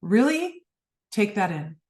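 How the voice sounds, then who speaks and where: A woman's voice: medium pitch, very animated, takes it slowly, a little mellow, roomy sound. A woman hosting a spiritual podcast, speaking earnestly and emphatically and repeating phrases for effect. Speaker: podcast host. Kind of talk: spiritual monologue.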